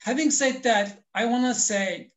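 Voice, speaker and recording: A man, a language teacher speaking deliberate non-native English as he explains his views as an interview guest. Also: very high-pitched, fairly bright tone.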